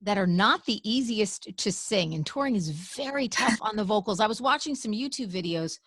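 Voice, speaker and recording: A woman's voice: mid-range voice, animated, moderate pace, fairly bright tone, no room sound, clean studio sound. A woman radio host, enthusiastically asking effusive interview questions.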